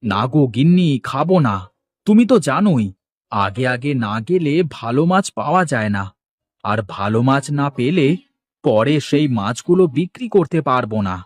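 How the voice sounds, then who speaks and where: A man's voice: medium pitch, sing-song, moderate pace, natural voice. A man playing a busy, polite village fish seller in a cartoon, with a folksy way of talking. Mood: hurried, placating. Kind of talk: Bengali cartoon dialogue acting.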